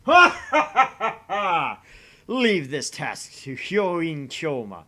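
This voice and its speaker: A man's voice: a little high, very animated, very slow, bright, forceful tone, voice pushed up, full character voice. A man playing a pompous, theatrical young mad scientist who makes grandiose proclamations in an amateur visual novel dub.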